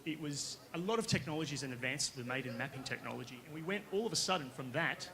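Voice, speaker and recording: Bright-voiced Australian man presenting. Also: on the high side. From Western Australia. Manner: casual, conversational, with frequent tag questions. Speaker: company founder pitching to investors. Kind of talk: conference presentation. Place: conference stage.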